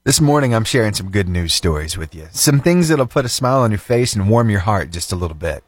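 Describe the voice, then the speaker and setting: Male radio morning-show host, lively pitch, dry close studio sound, fervent then chatty. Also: fairly quick, breathy edge, dry recording. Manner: animated, fervent praying then chatty and upbeat. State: slightly itchy throat, voice lower than usual. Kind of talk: prayer and casual radio chat. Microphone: studio microphone.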